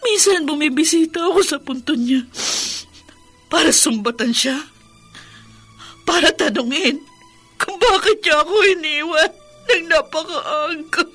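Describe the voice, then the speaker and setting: An actress playing a grieving middle-aged widowed mother in a radio drama, crying through an anguished, drawn-out delivery. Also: high voice, a bit bright. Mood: tearful longing. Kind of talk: melodramatic Tagalog radio drama acting. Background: music.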